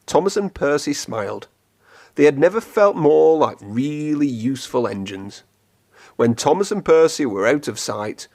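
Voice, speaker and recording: Lively, low-to-mid male storyteller. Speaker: storybook reader. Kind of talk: expressive children's story read-aloud.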